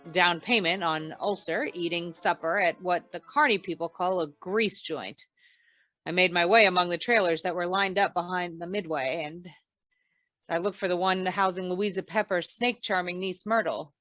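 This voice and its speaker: A woman playing a deadpan, hard-boiled female investigator in an old-time radio crime noir, with dry, world-weary delivery. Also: fairly low pitch. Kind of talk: 1940s radio noir narration and dialogue.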